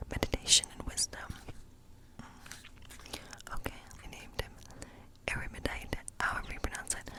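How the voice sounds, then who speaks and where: A woman playing a friendly, chatty woodland witch in an ASMR role play, speaking in a breathy whisper and rambling gently. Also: lightly acted, rough recording. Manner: rambling, gentle, lingering over phrases.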